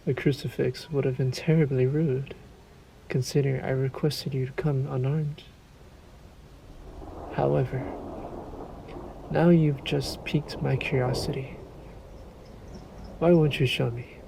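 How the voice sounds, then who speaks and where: A man playing a sadistic, courtly vampire lord in a roleplay audio drama, suave and menacing, with formal, drawn-out politeness. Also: medium pitch, fairly even intonation, creaky, background noise. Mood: coaxing, insistent. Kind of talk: scripted roleplay audio drama, addressed to listener.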